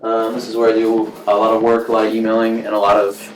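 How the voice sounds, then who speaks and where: A man's voice: fairly low pitch, completely flat delivery, quick pace, slight background noise. A 27-year-old male musician talking casually in his home studio for a documentary interview. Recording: distant mic in echoey room.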